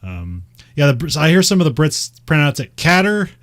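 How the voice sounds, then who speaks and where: A man's voice: a little high, sing-song, a little fast, a bit bright. A man with a Midwestern American accent, chatting casually and rambling, with frequent laughs and fillers. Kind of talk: casual chat about racing.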